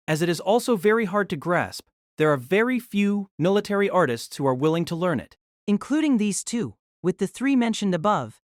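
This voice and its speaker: Lively male novel narrator. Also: sits high, dry recording, clean studio sound.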